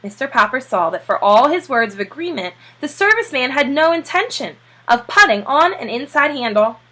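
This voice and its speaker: Bright-voiced woman reading a children's story. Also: high voice, brisk. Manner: expressive read-aloud delivery. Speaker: teacher reading aloud. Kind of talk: scripted children's storybook narration.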